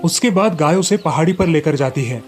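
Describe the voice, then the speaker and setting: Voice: medium pitch, expressive, moderate pace, darkish tone, faint music behind. A narrator reading a scripted moral story in storybook style.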